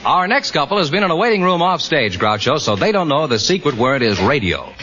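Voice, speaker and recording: Brisk bright-voiced American male announcer. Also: on the high side, sing-song. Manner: brisk, enthusiastic. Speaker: radio quiz announcer. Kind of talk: scripted announcing. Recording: muffled old radio recording, faint noise.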